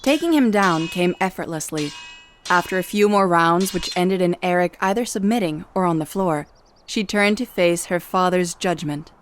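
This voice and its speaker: Female narrator for a fantasy saga, lowish voice, scripted dramatic storytelling over soft music. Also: normal speed.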